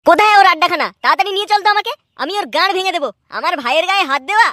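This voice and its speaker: Extremely high-pitched cartoon boy hero: hot-headed, mouthy, blustering. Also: expressive, racing, bright, forceful tone, no room sound, clean studio sound, exaggerated voice. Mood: impatient, angry. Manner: scolding, blustering. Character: brash, hot-tempered foul-mouthed young boy hero. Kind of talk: amateur comic cartoon dubbing.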